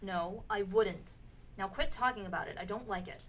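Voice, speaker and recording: Bright, forceful woman playing an indignant sister, noisy recording. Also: mid-range voice, moderate pace, lightly acted. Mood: annoyed, scolding. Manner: scolding, emphatic. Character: indignant, defensive sister standing up to bullying brother. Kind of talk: live amateur radio theatre acting. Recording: clearly noisy amateur radio drama recording.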